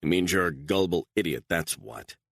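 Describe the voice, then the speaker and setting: A man voicing a calm, menacing gunman who gives clipped, flat threats. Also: sits low, brisk, creaky, no echo, studio quality, exaggerated voice. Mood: menacing, cold.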